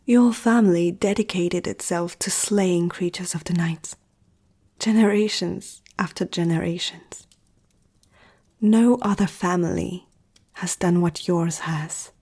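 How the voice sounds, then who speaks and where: A woman playing an ancient, powerful vampire aristocrat in an ASMR roleplay, poised, intimate and seductive, speaking in a breathy half-whisper. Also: on the low side, steady tone, takes it slowly, dark tone, heavily acted. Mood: measured, persuasive.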